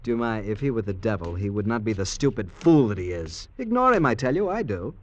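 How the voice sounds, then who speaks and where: Lively, cocky young male convict. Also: fairly low pitch, brisk. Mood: breezy. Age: young adult. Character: cheerful, cocky young convict dreaming of freedom. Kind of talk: old-time American radio drama acting.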